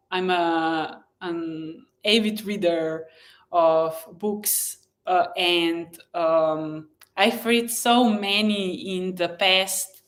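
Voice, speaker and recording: A woman who is a native Slovenian speaker, hosting a casual live chat in a gentle, encouraging and effusive way, often pausing mid-thought. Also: on the low side. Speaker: live stream host and blogger.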